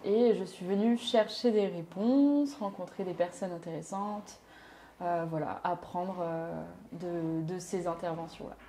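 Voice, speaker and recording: A woman who is an independent researcher, speaking in a measured way with many hesitations in an interview. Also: lowish voice, dark tone, a little hiss or hum. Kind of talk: vox pop interview.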